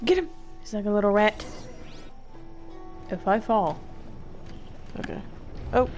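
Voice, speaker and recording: A woman's voice: mid-range voice, expressive, unhurried, darkish tone, soft background music. Slangy, wry woman streaming a game. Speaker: gameplay streamer. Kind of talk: casual live gameplay commentary.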